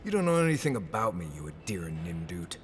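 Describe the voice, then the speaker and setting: An actor playing a gruff, hot-tempered dwarf tracker in a video game, surly and defensive. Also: male voice, sits low, sing-song, fast, slight background noise. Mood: bristling, threatening. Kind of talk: game dialogue.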